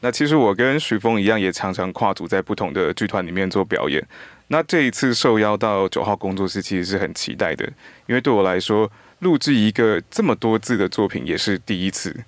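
A man's voice: fairly low pitch, expressive, a little fast, no echo. A man, a voice actor talking casually as a podcast guest. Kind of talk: casual interview talk.

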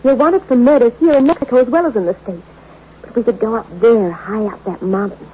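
Scheming femme fatale, muffled radio. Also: female voice, fairly high pitch, brisk, breathy edge. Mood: coaxing, greedy. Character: scheming, seductive femme fatale hungry for gold. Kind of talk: 1960s radio suspense acting. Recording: muffled, slightly noisy old radio recording.

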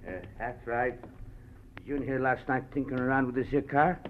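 An actor playing a folksy, suspicious garage attendant who talks colloquially in 1940s radio drama style. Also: male voice, mid-range voice, little pitch movement, normal speed, a little hiss or hum, lightly acted. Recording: old radio broadcast, muffled narrow sound.

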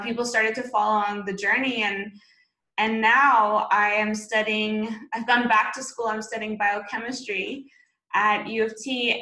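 A woman from Canada, a guest on a video call, talking in a chatty, energetic way with long running explanations. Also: medium pitch. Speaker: podcast guest, keto blogger and biochemistry student. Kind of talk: casual interview answers. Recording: roomy echo.

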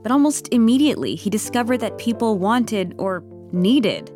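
A woman reading scripted narration for a comedic audio drama in a measured, wry storytelling manner. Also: on the high side, very animated, darkish tone, soft background music.

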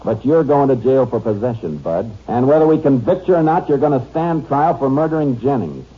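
An actor playing a tough, steady Texas Ranger in a 1950s radio western, speaking tersely and sternly. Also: male voice, mid-range voice, fairly quick, slightly rough. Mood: hard pressing. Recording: dull old radio recording, faint music.

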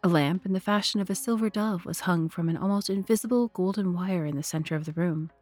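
A woman narrating a literary tale as a storyteller, measured, deliberate and expressive. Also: lowish voice, faint music behind. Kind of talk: dramatic scripted literary narration.

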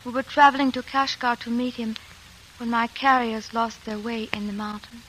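A woman's voice: on the high side, little pitch movement, moderate pace, fairly bright tone, a little hiss or hum, lightly acted, low-quality recording. A woman playing a shy wistful noblewoman. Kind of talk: 1940s radio acting. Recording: old radio broadcast.

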